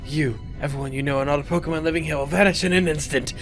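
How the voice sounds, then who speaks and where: A man's voice: a little high, fairly even intonation, fast, soft background music, natural voice. A man voicing a megalomaniac, scholarly villain from a video game, theatrical, grandiose and intense, obsessed with remaking the world. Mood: cold, grandiose. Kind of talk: video game character monologue.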